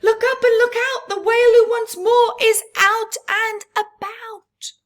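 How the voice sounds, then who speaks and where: A woman voicing a feisty, smart and kind young female crab who shouts her warnings in a high, urgent voice. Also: fairly even intonation, measured pace. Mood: alarmed.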